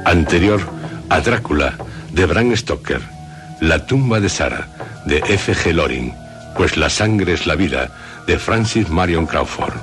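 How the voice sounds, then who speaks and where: A man hosting a radio show with dramatic scripted narration, phrased deliberately and theatrically with suspenseful pauses. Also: low voice. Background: music.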